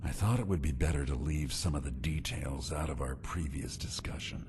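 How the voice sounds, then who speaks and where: An actor playing a sly, self-satisfied meadery conspirator and fence in a fantasy video game, smug and businesslike. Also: male voice, very deep voice, moderate pace, background noise. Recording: clear background music.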